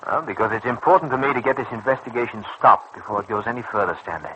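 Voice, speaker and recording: An actor in an old radio serial playing a suave, scheming crime boss who coaxes and patronises in precise, persuasive phrases. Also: male voice, medium pitch, quick pace, sharp tone, lo-fi sound, close to an everyday voice. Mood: pressing. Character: smooth, scheming male racket boss, coaxing and patronising. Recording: old radio recording with faint noise.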